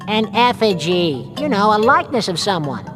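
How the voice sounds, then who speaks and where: A woman's voice: mid-range voice, very animated, moderate pace, close to an everyday voice. A woman voicing a sassy, sensible cartoon girl, the big sister, with dry sardonic delivery in a video game. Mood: confident, dry. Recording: loud music behind the voice.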